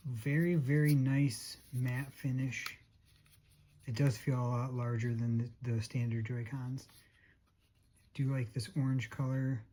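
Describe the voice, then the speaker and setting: A hoarse-voiced vlogger talking casually and a bit hesitantly through a product unboxing. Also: mid-range voice, mellow, slight background noise. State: hoarse, losing voice. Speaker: unboxing video host.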